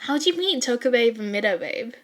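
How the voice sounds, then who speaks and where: A woman's voice: a little high, lively intonation, a little fast, close to an everyday voice. A woman voicing a playful, mischievous teenage girl with a bold, teasing manner in a story reading.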